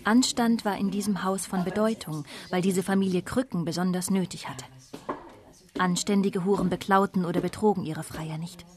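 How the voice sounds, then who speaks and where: A woman's voice: fairly low pitch, fairly even intonation, normal speed, a crowd in the background, lightly acted. An actress playing a disillusioned woman of twenty-nine, narrating her life soberly in a radio drama. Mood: wry, detached. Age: young adult. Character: disillusioned 29-year-old daughter of a prostitute, coolly confessional.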